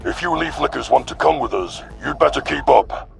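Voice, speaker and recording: A man voicing an arrogant, contemptuous alien predator warlord in a sci-fi audio drama, with a gravelly growl and a boastful, sneering manner. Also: medium pitch, expressive, brisk, over music, pitched below the performer's own voice. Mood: menacing boast.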